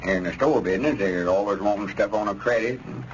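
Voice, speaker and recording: An actor playing a self-important, hopeful old country grocer with a folksy rural Southern American accent in radio comedy. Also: male voice, on the low side, quick pace, natural voice. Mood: self-satisfied. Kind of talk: 1930s radio comedy acting. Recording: muffled, noisy old radio recording.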